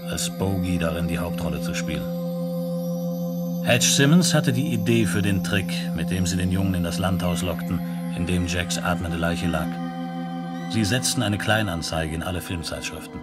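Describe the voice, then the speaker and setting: An actor playing a detached narrator of a science fiction radio play, telling it in a factual, report-like manner. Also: male voice, low-pitched, normal speed, natural voice. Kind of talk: scripted narration, 1970s German radio drama. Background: electronic music.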